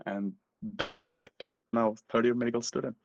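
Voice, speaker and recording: A man's voice: medium pitch, level tone, moderate pace, gravelly, no echo, muffled recording. A middle-aged man from Nepal with a Nepali accent, telling his personal story as an interview guest. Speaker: podcast guest, medical student.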